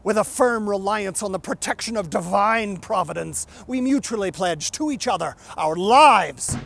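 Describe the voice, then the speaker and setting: Male orator, impassioned and indignant dramatic recitation, very animated intonation, some noise in the recording. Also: sits high, moderate pace, vocal fry. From United States. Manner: impassioned, indignant, emphatic declaiming. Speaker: reciter, live presenter.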